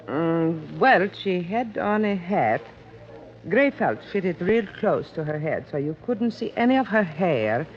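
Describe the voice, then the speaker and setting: A woman's voice: on the low side, sing-song, a little slow. An American woman playing a prim, chatty, fussy department-store salesclerk in a 1950s radio drama. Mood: gossipy, precise. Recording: dull vintage radio recording.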